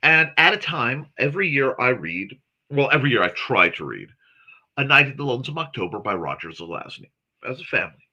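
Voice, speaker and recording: A man hosts a laid-back livestream, chatting with viewers as though they were in the room. His very bright, sharp voice swings up and down lively as he rambles along and keeps correcting himself mid-sentence. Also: medium pitch, fairly quick, slightly rough, highs cut off. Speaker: host of a morning talk stream. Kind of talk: casual livestream chat, answering viewers.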